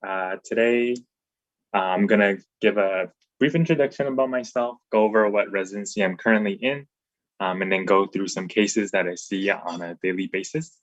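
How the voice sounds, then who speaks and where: A man's voice: fairly low pitch, moderate pace, no room sound, dull audio. A man in his twenties from Taiwan, a dental resident giving an easygoing slide talk over a video call, with many ums and uhs. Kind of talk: informal slide presentation.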